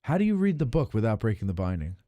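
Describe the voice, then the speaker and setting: A man's voice: on the low side, sing-song, quick pace, warm tone, no room sound. A man hosting a podcast in a casual, conversational style. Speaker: podcast host. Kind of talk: casual chat.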